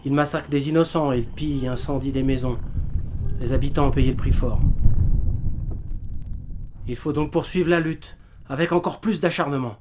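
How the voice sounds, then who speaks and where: A man's voice: medium pitch, fairly even intonation, normal speed, pauses often, a little mellow, slight background noise, close to an everyday voice. Earnest Resistance fighter, acted by a man. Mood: grave, resolute. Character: male Resistance fighter, wounded, grave and determined leader. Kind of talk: French audio drama acting.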